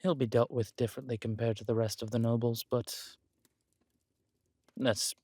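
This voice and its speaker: A man playing a scheming, aristocratic villain husband in an intimate roleplay audio drama, suave and deliberate, slipping into breathy near-whispers. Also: mid-range voice, level tone, mellow, no room sound, clean studio sound. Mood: smug, musing. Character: smooth, scheming villain husband, usurper of a kingdom.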